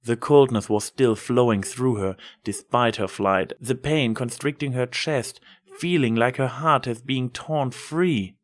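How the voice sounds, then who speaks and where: A man's voice: medium pitch, unhurried, few pauses. A man narrating fiction from a script as a dramatic story reader.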